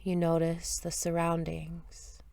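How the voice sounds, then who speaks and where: A woman leading a guided meditation in a soft, gentle, soothing voice, with unhurried phrases and long pauses, at times breathy. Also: fairly low pitch, completely flat delivery, no echo. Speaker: meditation teacher.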